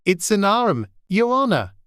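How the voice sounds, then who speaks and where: A man's voice: sits high, sing-song, unhurried, a bit bright, dry recording, pitched above the performer's own voice, exaggerated voice. A timid, simple Irish servant, voiced by a man in a storybook, deferential and humble with his master. His voice is lifted above the narrator's, recorded close and dry. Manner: deferential, says "Your Honor".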